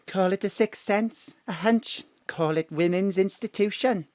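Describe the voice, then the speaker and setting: A woman's voice: medium pitch, unhurried, a little mellow, no echo, clean studio sound, close to an everyday voice. An actress playing a feisty, nosy older mother with a homely, scolding, colloquial way of talking in a comic audio drama. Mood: nosy, confiding. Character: feisty, nosy, middle-aged-to-older mam.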